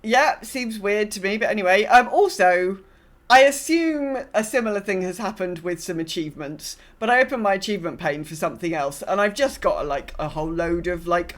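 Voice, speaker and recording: A woman's voice: mid-range voice, animated, fairly quick. Woman podcast host, rambling casual chat. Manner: rambling, self-correcting storytelling. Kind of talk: casual chat about gaming.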